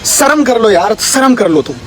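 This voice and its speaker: A young man with a very high voice rants sarcastically about politics. He fires off one mocking rhetorical question after another. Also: sing-song, normal speed. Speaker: political commentator.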